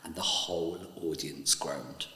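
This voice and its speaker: A man's voice: rumbling low, fairly even intonation, measured pace, pushed and bright, echoey room, long reverb tail. A man recalling his early stage career in an interview, telling it earnestly. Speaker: interviewee, actor and dancer. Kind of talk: anecdotal interview.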